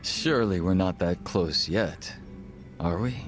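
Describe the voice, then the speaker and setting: An actor playing a suave, guarded gang second-in-command in his thirties for a video game, speaking in a formal, measured, wry way. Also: low voice. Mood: guarded, teasing. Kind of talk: English video game voice acting.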